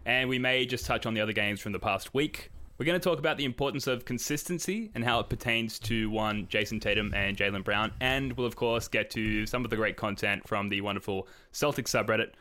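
Bright-voiced man hosting a podcast. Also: lowish voice, fairly quick, hardly any pauses. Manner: wry, jokey introductions. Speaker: podcast host.

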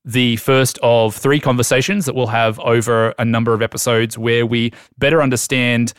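A man's voice: medium pitch, moderate pace, smooth, no room sound. Jokey guy hosting a podcast, chill middle voice, dry sound. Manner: playful, joking, lively. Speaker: podcast host. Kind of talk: casual podcast chat.

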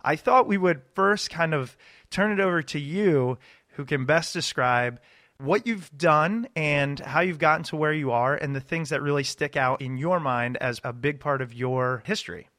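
A man's voice: fairly high pitch, moderate pace, a bit bright, no room sound, clean studio sound. A man hosting a podcast who introduces the guest in a friendly, rambling, informal way. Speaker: podcast host. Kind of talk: casual podcast chat and interview intro.

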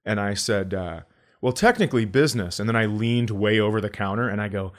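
A man's voice: fairly low pitch, lively intonation, a little fast. A middle-aged American man hosting a comedy podcast, telling casual, profane stories and acting out the dialogue. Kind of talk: casual comic storytelling.

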